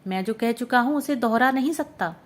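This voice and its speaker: A woman narrator voicing a man who calmly and firmly refuses marriage. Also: fairly high pitch, natural voice. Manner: measured, resolute.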